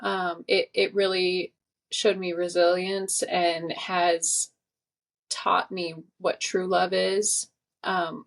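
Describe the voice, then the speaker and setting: A woman's voice: on the low side, vocal fry. A woman in her twenties, a podcast guest, talking earnestly and reflectively in casual conversation. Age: 20s. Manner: reflective, earnest, many fillers.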